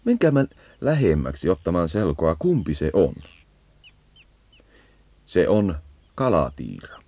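A man's voice: sits low, big pitch swings, warm tone, dry recording. A man narrating a scripted educational birdsong guide, leading the listener as if on a field walk.

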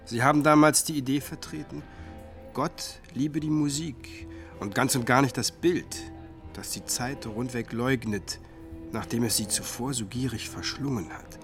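An actor playing a thoughtful, courteous conversation partner, speaking in a measured, reflective way in a radio drama. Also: male voice, medium pitch, steady tone, fairly bright tone, soft background music. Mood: musing, ironic.

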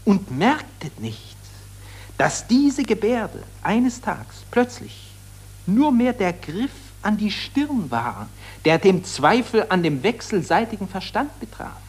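An impassioned orator in a 1940s radio play, declaiming solemn accusations. His voice is high and rough-edged, with sweeping melodic swings. The rhetoric is grand, addressed to the fallen of war. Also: big pitch swings, slow, exaggerated voice. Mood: indignant accusation. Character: impassioned male satirist accusing warmongers and summoning the war dead.

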